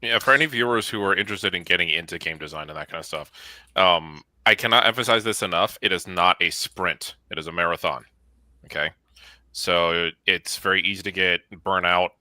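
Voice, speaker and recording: A man with a low voice and a very bright, forceful edge gives conversational advice. He explains point by point with emphasis. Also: a little fast, dry recording.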